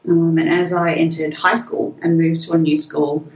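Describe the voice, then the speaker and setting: An Australian woman speaking as a podcast guest in casual conversation, chatty and quick to laugh, with frequent ums. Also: sits low, flat delivery, darkish tone, roomy sound, low-quality recording. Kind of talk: casual interview chat.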